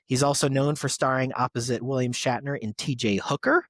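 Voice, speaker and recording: A man's voice: medium pitch, steady tone, fairly quick, no room sound, studio quality. A man hosting a podcast, reading prepared tributes in an announcer-like way with an enthusiastic fan's touch. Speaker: podcast host. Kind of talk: reading birthday tributes to actors, semi-scripted podcast segment.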